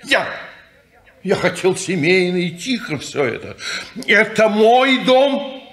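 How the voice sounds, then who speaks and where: A man's voice: sits high, very animated, takes it slowly, fairly bright tone, exaggerated voice. An actor playing a fussy, lecturing old man who owns the house, in a 1950s radio play. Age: older.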